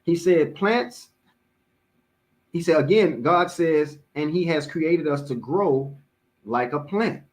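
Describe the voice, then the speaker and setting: Deliberate middle-aged male preacher. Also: a little high. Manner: deliberate, emphatic, repeats key phrases. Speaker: imam. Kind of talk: religious public address, motivational teaching.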